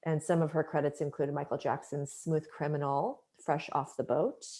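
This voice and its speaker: A woman hosting an interview, introducing her guest with admiring, unhurried words. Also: sits low, level tone, dark tone. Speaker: interview host. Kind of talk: conversational interview.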